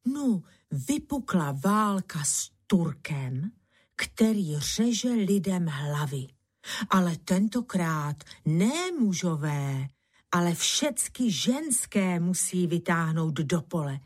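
A woman's voice: fairly low pitch, big pitch swings, slightly rough. A woman voicing a sly, scheming peasant husband in a storybook tale. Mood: alarming. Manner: scheming, reassuring. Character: sly peasant husband, trickster fooling his wife.

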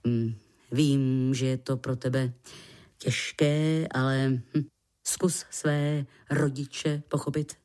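A woman voicing a pompous male creature ambassador in a storybook, slow and hesitant, with hemming pauses. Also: very deep voice, flat delivery, darkish tone, pitched below the performer's own voice. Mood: sympathetic.

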